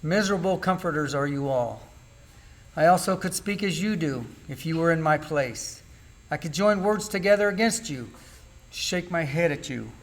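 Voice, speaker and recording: A man's voice: fairly high pitch, animated, normal speed. A man playing an anguished, bitter suffering man in an amateur church Bible drama, reciting in a declamatory way. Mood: bitter, defiant.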